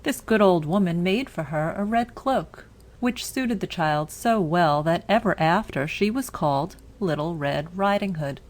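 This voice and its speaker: A woman narrating a scripted bedtime story for children in a measured, deliberate manner. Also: on the low side.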